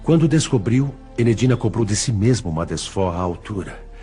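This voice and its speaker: A Brazilian man playing the sorrowful, self-pitying spirit of a betrayed husband in an audiobook drama, speaking deliberately and in literary phrases. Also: lowish voice, lively intonation, warm tone, close to an everyday voice. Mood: bitter. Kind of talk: dramatized audiobook dialogue, confessional. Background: music.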